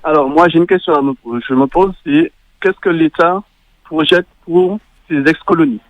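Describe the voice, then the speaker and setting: A man's voice: fairly high pitch, normal speed, dry recording. A man from Martinique phoning into a radio show, politely asking questions. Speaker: caller. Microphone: phone. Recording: muffled phone line.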